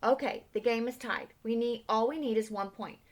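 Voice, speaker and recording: A woman voicing a boy team captain in a storybook, rallying his team with brisk urgency. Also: fairly high pitch, close to an everyday voice. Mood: urgent.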